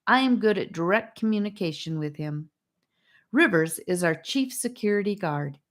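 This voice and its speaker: A woman's voice: medium pitch, lively intonation, moderate pace, pitched above the performer's own voice, close to an everyday voice. A woman voicing a bubbly, theatrical little girl who talks in a sing-song, dramatic way. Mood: theatrical, giddy.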